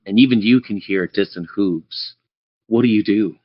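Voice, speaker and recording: A man's voice: fairly low pitch, normal speed, highs cut off. A man running a tabletop game as game master, narrating scenes descriptively and speaking straight to his players. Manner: descriptive, addresses players directly.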